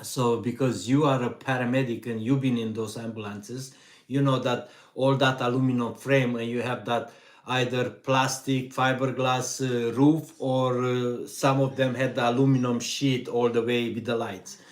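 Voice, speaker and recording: A middle-aged man from Hungary with a Hungarian accent, hosting a relaxed live Q&A for his vanlife channel and answering chat questions with many fillers. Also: mid-range voice. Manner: slow, relaxed, pauses with fillers. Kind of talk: live stream Q&A reading chat.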